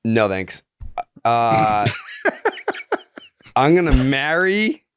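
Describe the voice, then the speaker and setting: A man's voice: mid-range voice, sing-song, very slow, smooth, no echo. A man co-hosting a sports podcast, bantering casually in a blunt, teasing way. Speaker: podcast co-host.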